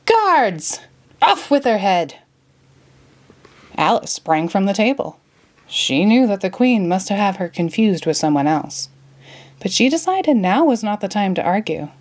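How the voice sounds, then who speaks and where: A woman reads a children's storybook aloud. Her narration is expressive, with pitch that rises and falls widely through each sentence. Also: normal speed.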